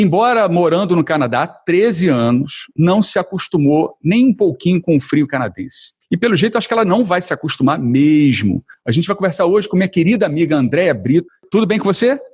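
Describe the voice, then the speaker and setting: Man, Brazilian accent, podcast host, husky fairly high voice, upbeat. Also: very animated, a little fast, few pauses, darkish tone. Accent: Brazilian Portuguese. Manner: friendly, upbeat, laughs easily. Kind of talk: casual interview.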